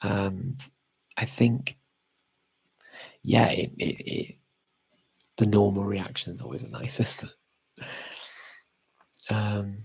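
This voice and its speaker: A man's voice: on the low side, steady tone, takes it slowly, gravelly, pauses often, slightly rough, dry recording, rough recording. A man chatting casually and unscripted as he answers audience questions as a language expert, often hesitating and correcting himself as he thinks aloud.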